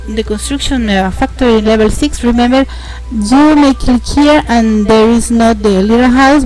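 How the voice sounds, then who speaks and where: A young woman with a Mexican accent, a host narrating a casual game tutorial, halting and repeating words as she explains. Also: a little high, rough recording. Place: home, indoors.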